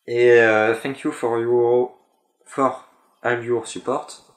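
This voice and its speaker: A man's voice: medium pitch, flat delivery, takes it slowly, roomy sound. A young man vlogging casually, talking in a hesitant way with lots of fillers and restarts. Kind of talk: casual chat about a fan convention.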